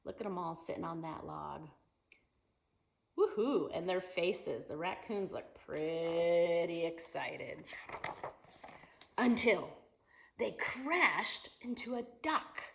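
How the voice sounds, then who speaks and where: A woman teacher reading a picture book aloud to children in an expressive, animated way, asking her young listeners questions. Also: on the low side, slow, creaky, pauses often, slight background noise. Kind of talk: animated children's story time read-aloud.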